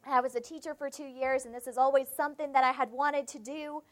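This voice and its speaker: A young woman with a South Carolina Southern accent preaches in a high, light voice. She tells a personal story, acting out the dialogue in it before turning to scripture. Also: fairly even intonation, fast talker. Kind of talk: devotional sermon with personal story.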